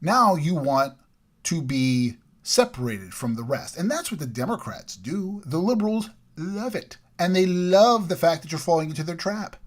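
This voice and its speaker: A man delivering an emphatic, opinionated political monologue, from the United States, repeating points and swinging between loud and quiet. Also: on the high side, expressive, normal speed. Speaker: political commentary host.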